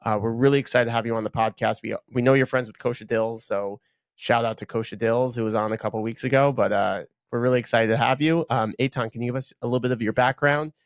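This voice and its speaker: A man's voice: mid-range voice, fairly even intonation, quick pace, no room sound. A man hosting a podcast, enthusiastic and casual, repeating words and using lots of fillers as he asks questions. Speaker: podcast host. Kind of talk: casual interview talk.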